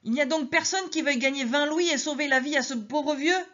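A woman's voice: sits high, fairly quick, a bit bright, pitched above the performer's own voice. A woman voicing an urgent, generous man pressing a crowd to help, on a dull lo-fi recording. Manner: pressing, raising his offer.

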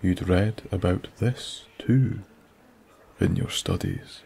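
Scottish man, bedtime story narrator, low gravelly voice, mellow, soothing whispery delivery. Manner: slow, hushed, soothing, drifting into whispers.

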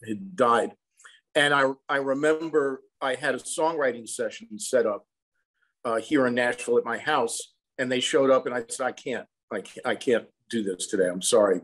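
An American man in his sixties, an interview guest telling anecdotes in a reflective, measured, conversational way. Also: mid-range voice, low-quality recording. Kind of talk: conversational storytelling. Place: room at home, indoors. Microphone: video call.

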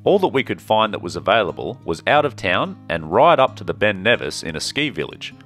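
A man narrating his travel vlog in a calm, measured, scripted way. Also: on the low side, soft background music. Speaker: travel vlogger.

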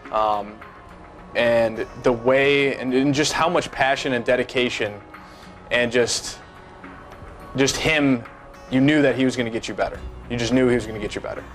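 A young man in his twenties, a former pro baseball player, answering interview questions in a high-rise office, halting with many fillers and restarts. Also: a bit bright, faint music behind. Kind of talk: seated interview, casual recollection.

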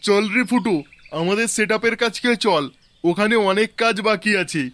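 A man's voice: high voice, normal speed, fairly bright tone. A man voicing a bossy, combative cartoon village rival who talks in a swaggering, taunting, slangy way. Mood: urgent, bossy. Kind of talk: cartoon dialogue. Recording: music bed behind.

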